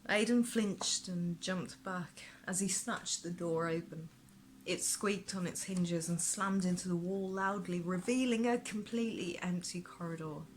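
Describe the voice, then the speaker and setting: A woman author reading her own fantasy novel aloud as expressive audiobook narration. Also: lowish voice, measured pace, a little hiss or hum, low-quality recording. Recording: prominent music behind the narration. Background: music.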